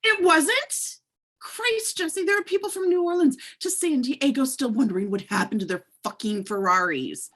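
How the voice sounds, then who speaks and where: A woman's voice: high voice, moderate pace. A woman reading a furious young woman confronting the ex who left her, with a put-on Southern accent and plenty of swearing. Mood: angry. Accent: American Southern, put on. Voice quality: husky, swearing, lively delivery. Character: furious young woman, jilted ex-car thief. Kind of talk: amateur script read-through.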